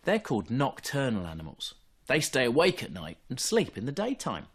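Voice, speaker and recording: A man reads a bedtime tale for small children. His voice has a husky grain and sits at a middle pitch. The delivery is highly expressive, rising and falling widely. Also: normal speed. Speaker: bedtime story reader.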